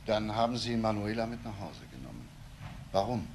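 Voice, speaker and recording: An actor playing a businesslike, probing police detective in a crime radio drama, speaking in a clipped manner. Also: male voice, on the low side, big pitch swings, moderate pace, a little mellow, slight background noise.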